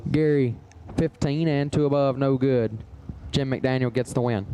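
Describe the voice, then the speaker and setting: A man's voice: mid-range voice, normal speed, dark tone, a little hiss or hum, poor audio. A man working as a drag racing announcer, calling matchups and results in terse, clipped phrases. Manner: terse, clipped number-heavy delivery. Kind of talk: race commentary with clipped results calls.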